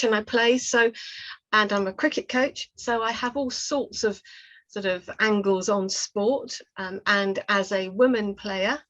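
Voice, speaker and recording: A woman's voice: medium pitch, fairly bright tone. A woman speaking informally on a video call, introducing herself in a chatty, friendly way. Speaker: oral historian and sports coach. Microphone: video call microphone. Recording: slightly dull video-call audio.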